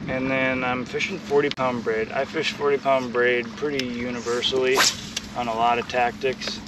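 A young man teaching fishing from a kayak on a lake outdoors, talking in a relaxed way to an action camera. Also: mid-range voice, moderate pace. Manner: relaxed explaining, bursts of excited shouting when fish bite. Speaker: fishing host. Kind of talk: casual on-the-water instruction. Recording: distant mic with wind and water noise.

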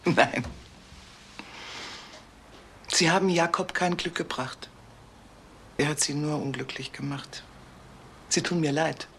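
A woman's voice: low voice, slow, gravelly, pauses often, a bit bright, full character voice. A middle-aged mother in a crime radio play, hurt but restrained. There is slight noise behind her voice. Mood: cool, hurt. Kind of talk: naturalistic German radio crime drama.

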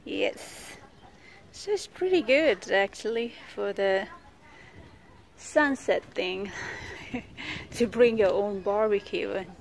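A woman filming herself on a walk outdoors, talking at an unhurried, drawn-out pace in a mid-range voice. She chats along with lots of fillers and little laughs, sounding a bit tired from the heat. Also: mid-range voice, creaky, slight background noise. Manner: chatty, laughs often, many fillers. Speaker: vlogger. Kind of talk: casual walking tour narration. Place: outdoors at a beach park with trees.

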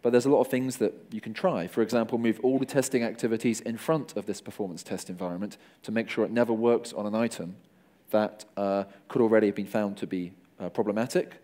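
A man's voice: lowish voice, fairly even intonation, quick pace, dark tone. A middle-aged man giving a conversational conference talk on stage, wry and joking with the audience. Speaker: conference speaker.

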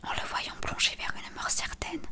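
A woman reading a story aloud as scripted narration, expressive and hushed. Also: moderate pace, whispered. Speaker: audiobook reader.

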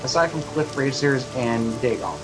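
Very low-voiced female gamer, congested, calm planner, over loud game music. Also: moderate pace, rough recording. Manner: low-key, explains the game plan. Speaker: player of a game let's play. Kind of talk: casual gaming chat.

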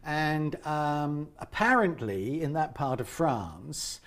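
Animated male interviewer. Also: on the high side, slow. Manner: talkative, animated, frequent restarts. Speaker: interviewer and director. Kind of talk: chatty interview.

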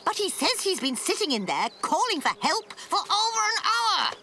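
A woman's voice: extremely high pitch, very animated, normal speed, bright, forceful tone, a little hiss or hum, exaggerated voice. A woman voicing a bossy, nagging farmyard hen in a cartoon, indignant and scolding. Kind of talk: cartoon voice acting.

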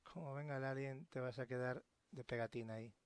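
A man's voice: medium pitch, steady tone, fairly quick, a little hiss or hum. A man with a Castilian Spanish accent giving casual, sweary gameplay commentary, talking to himself and to game characters. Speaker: let's-play host. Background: sci-fi horror game ambience.

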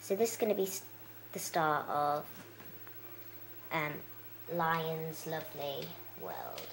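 Young girl, croaky voice, low and gravelly, chatty game commentary with lots of ums. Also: big pitch swings, slow pace, reverberant, slight background noise, poor audio. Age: child. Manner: chatty, rambling, many fillers. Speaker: let's play host.

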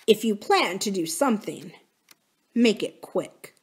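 A woman's voice: medium pitch, very animated, measured pace, slightly rough, heavily acted. A woman voicing a stern, cryptic old witch who gives grave, emphatic warnings in an audiobook story. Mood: urgent, ominous.

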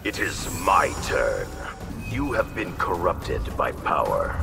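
An honourable, stern video game warrior. The voice is extremely deep and gravelly, slow and solemn, with music behind. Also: male voice, very animated, far from the mic, full character voice. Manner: solemn, unyielding. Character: stern, honourable masked male clan-leader warrior. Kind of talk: fighting game dialogue.

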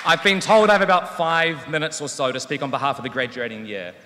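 A man's voice: fairly high pitch, fast talker, a crowd in the background, noisy background. A man in his thirties with a New Zealand accent, a teacher giving a wry, earnest graduation speech at a podium microphone in a large hall. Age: 30s. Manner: wry, self-deprecating, earnest. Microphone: podium microphone on stand. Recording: long hall reverb.

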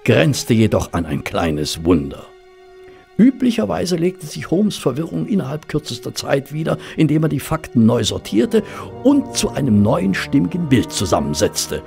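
A thoughtful detective's companion telling the story, radio drama, dramatic slow delivery, really swoopy pitch, bit of fry. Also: male voice, a little mellow. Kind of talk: German radio play acting and scripted narration.

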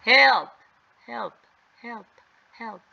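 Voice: mid-range voice, steady tone, normal speed, gravelly, bright, forceful tone, lo-fi sound, voice pushed up. A storyteller voicing a frightened young girl pleading in a children's story. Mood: desperate.

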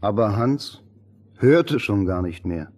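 A man narrating a fairy tale as a storybook narrator, deliberate and expressive. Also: fairly low pitch. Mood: storytelling. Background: music.

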